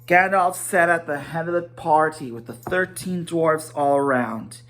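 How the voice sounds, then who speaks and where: A man giving a dramatic storybook reading, halting at times and correcting himself as he narrates. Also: on the high side, fairly bright tone. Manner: halting, stumbling over words and correcting himself.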